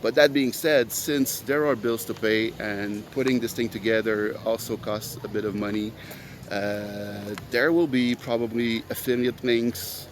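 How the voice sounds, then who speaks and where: A man's voice: fairly low pitch, steady tone, measured pace, slight background noise. A man whose first language is French, hosting his own podcast in an earnest, rambling solo monologue full of fillers. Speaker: podcast host.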